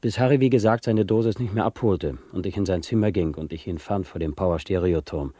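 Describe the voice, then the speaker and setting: An aggrieved middle-aged father in a radio satire. His voice is fairly low, dark and husky. He speaks emphatically in slang, self-righteous about his hard-earned pleasures. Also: brisk, no echo. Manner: indignant, colloquial, emphatic. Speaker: talk-show guest. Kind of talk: satirical radio play acting.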